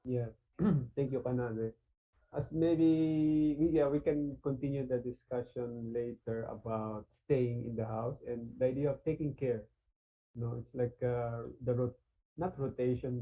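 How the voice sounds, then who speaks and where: A man from the Philippines with a Filipino accent, talking casually in an online panel, halting with many fillers and restarts. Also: mid-range voice, fairly even intonation. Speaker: artist and guest speaker. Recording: phone-like video call audio.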